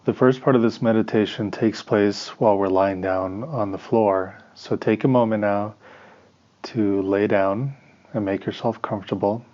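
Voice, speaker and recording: Low-voiced man guiding meditation, muffled recording. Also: fairly even intonation, darkish tone. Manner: measured, instructional, with pauses between short phrases. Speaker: meditation teacher. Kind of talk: guided meditation instruction.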